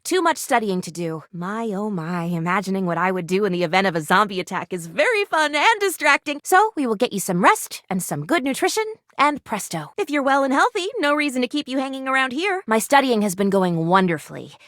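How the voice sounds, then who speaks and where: Eccentric female medic, game character: lively, swooping delivery, wordy and earnest, very dry close recording. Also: mid-range voice, a little fast, few pauses, no echo. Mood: amused, musing. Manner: quirky, precise, wordy, earnestly awkward. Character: eccentric, eager female medical student, a household object come to life. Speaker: video game voice actor. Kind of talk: animated video game character dialogue.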